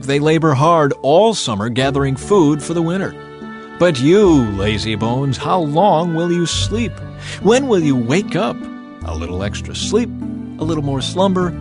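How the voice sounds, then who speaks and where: A man giving a dramatized reading of scripture as a narrator, theatrical and emphatic, in the manner of someone urging a listener. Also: on the high side. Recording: music bed under the voice. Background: music.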